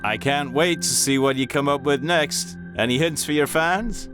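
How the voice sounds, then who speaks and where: A man voicing a conversational male talk show interviewer in a horror audio story, expressive in his delivery. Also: on the high side, normal speed, over music, pitched above the performer's own voice, natural voice. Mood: curious, upbeat. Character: male talk show host, chatty, growing nervous and disbelieving.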